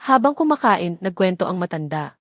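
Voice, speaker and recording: A woman's voice: lowish voice, sing-song, fairly quick, a bit bright, dry recording, studio quality. A woman reading a scripted dramatic story as its narrator.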